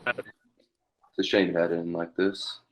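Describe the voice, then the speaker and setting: A deep-voiced outlaw leader and ex-cavalry captain from a western, read by a man at a table read. He taunts deliberately and with menace. Faint noise sits behind the voice. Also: flat delivery, a bit bright, low-quality recording. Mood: taunting, cold.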